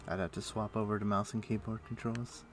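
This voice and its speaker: A man chatting casually on a game live stream, thinking aloud in a halting, muttering way as he plays. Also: lowish voice, little pitch movement. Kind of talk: casual live-stream chat while playing an online game. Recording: faint music behind the voice. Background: faint music.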